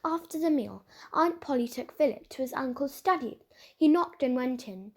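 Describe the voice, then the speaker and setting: Female storybook reader, high-pitched, narrating children's fiction. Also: animated, normal speed. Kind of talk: children's novel read aloud.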